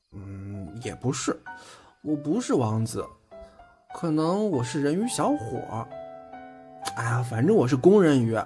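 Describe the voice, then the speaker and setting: 一名男演员在广播剧里饰演一个甜蜜害羞、爱说话的年轻男友，语气真诚、带点孩子气和自嘲。. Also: male voice, mid-range voice, dark tone. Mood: playful, earnest. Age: young adult. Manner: earnest, boyish, self-deprecating. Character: sweet, bashful, chatty young boyfriend in love. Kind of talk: Chinese audio drama acting. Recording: music under the voice. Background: background music.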